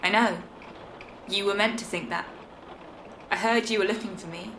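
A woman's voice: medium pitch, fairly even intonation, moderate pace, sharp tone, natural voice. An actress playing a cool, guarded young woman in a naturalistic audio drama. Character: cool, guarded young woman who faked her death. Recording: echoing, with clear background ambience noise.

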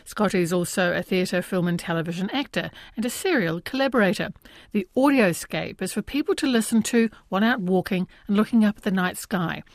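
Woman arts interviewer, New Zealand accent, medium pitch, presenter delivery, very dry close sound. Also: expressive, brisk, hardly any pauses, no echo. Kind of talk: scripted introduction and conversational interview.

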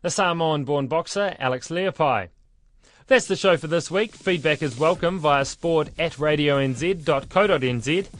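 A man's voice: fairly high pitch, no room sound. A man reading the sign-off for a sports radio show. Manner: brisk announcing read. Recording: voice set back from the mic.

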